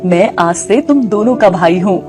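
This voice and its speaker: Woman as a kind young man, mid-pitched. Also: expressive, moderate pace, music in the background, close to an everyday voice. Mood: kind, reassuring. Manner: reassuring, earnest. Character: kind, reassuring young man, adoptive brother.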